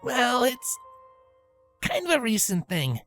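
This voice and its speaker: Woman voicing a high, brash young pegasus. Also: big pitch swings, slow pace, a bit bright, dry recording, faint music behind, full character voice. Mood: sheepish. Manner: hesitant, protesting. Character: brash, sheepish young female pegasus athlete.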